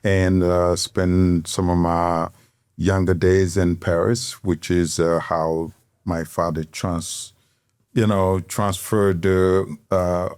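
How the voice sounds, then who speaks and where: A middle-aged man from Senegal, a guest telling his story in an interview, unhurried and halting with many fillers. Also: sits low, level tone, a little mellow, clean tone, dry recording, clean studio sound. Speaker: guest, tailor. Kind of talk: interview conversation.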